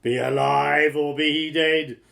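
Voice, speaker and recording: A woman's voice: steady tone, unhurried, gravelly, heavily acted. A woman doing a bellowing hungry giant. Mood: menacing. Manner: loud booming chant. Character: huge hungry male giant, menacing and bellowing.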